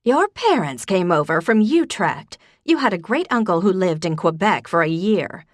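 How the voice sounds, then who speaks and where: A woman's voice: fairly low pitch, big pitch swings, moderate pace, no room sound, studio quality. A woman voicing a stern elderly grandmother. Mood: insistent. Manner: firm, insistent, indignant.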